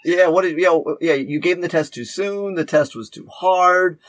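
A man in his fifties talking casually on a podcast as a teacher, animated, repeating words for emphasis and acting out remarks. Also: sits high, normal speed, dry recording. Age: 50s. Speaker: high school teacher.

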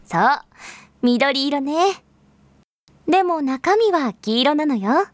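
Mother for audio drama, female actor: tender, patient explainer, somewhat high pitch, close dry recording. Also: animated, slow pace, no room sound. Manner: soft-spoken explaining, patient. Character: gentle, patient mother teaching her small child.

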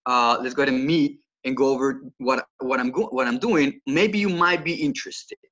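A man's voice: medium pitch, normal speed, a bit bright, highs cut off. A man in his twenties from Colombia, speaking English with a Colombian Spanish accent, telling his story as a podcast guest in an earnest way, often repeating words as he restarts. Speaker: podcast guest, financial coach. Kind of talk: casual podcast interview.

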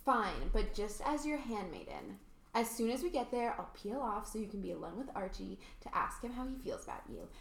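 A woman's voice: mid-range voice, sing-song, fairly quick, gravelly. Woman acting a sassy rich teenage girl, roomy recording. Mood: coaxing. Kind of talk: amateur radio play acting.

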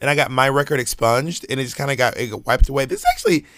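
A man's voice: mid-range voice, huge pitch swings, fast talker, a bit bright, no echo, clean studio sound. A man, chatting casually on a podcast and telling loose, rambling stories with slang. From Georgia, US. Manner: loose, rambling storytelling with frequent restarts and slang. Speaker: podcast co-host.

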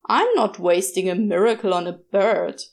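A woman's voice: mid-range voice, expressive, moderate pace, creaky. A woman voicing a wily, easily vexed male demon who mutters, grumbles and snarls. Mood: grumbling.

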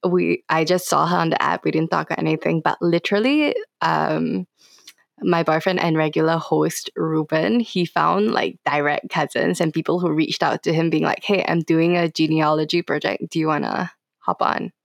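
A young woman talks as a guest on a podcast. Her voice is slightly low with plenty of creak, recorded dry. She is chatty and playful, peppering her talk with casual slang. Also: steady tone, normal speed, no room sound. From Singapore. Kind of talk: casual interview chat.

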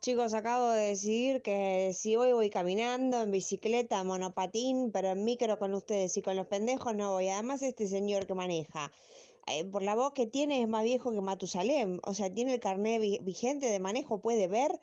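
A woman's voice: medium pitch, moderate pace, mellow, close to an everyday voice. A woman playing a combative middle-aged Argentine former classmate in a comic radio drama, scolding with sarcasm. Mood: sarcastic. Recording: dull, lo-fi audio.

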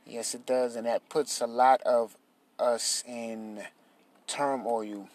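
A man's voice: medium pitch, slow pace. Casting: easygoing man co-host, loose and rambling, with a teasing streak. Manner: relaxed, rambling with fillers, teasing. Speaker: podcast co-host. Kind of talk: casual chat.

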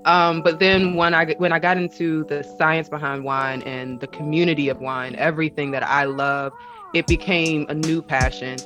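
Woman, deep raspy voice, playful and laughing, casual podcast guest, music behind. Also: steady tone, moderate pace. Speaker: guest, wine consultant.

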